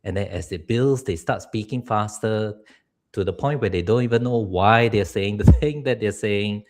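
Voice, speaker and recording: A man's voice: lowish voice, normal speed, a little mellow. A middle-aged man from Singapore, a public speaking coach giving earnest advice on a live stream. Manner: earnest, advice-giving, laughs now and then.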